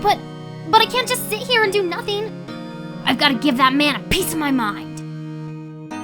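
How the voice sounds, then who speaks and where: A woman's voice: very high voice, sing-song, moderate pace. A woman playing a plucky, impulsive, guileless teenage girl in a video game dub. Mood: eager, determined. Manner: eager, indignant, guileless. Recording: clear music behind the voice.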